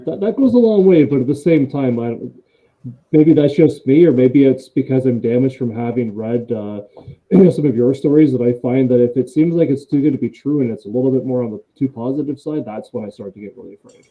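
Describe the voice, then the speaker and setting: A male host in a casual live interview, friendly and quick to laugh, often restarting his sentences. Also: mid-range voice. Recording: tinny phone-like line.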